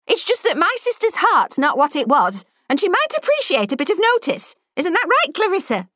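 A woman voicing a sharp-tongued elderly former headmistress who speaks bluntly and scolds. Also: extremely high pitch, huge pitch swings, moderate pace, no room sound, clean studio sound, pitched above the performer's own voice, full character voice. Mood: sarcastic.

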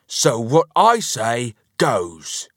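A man's voice: mid-range voice, very animated, drawn-out words, no echo, clean studio sound, voice pushed up, heavily acted. A man voicing a bossy, foolish, blustering husband in a children's storybook. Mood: stubborn. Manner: blustering, demanding.

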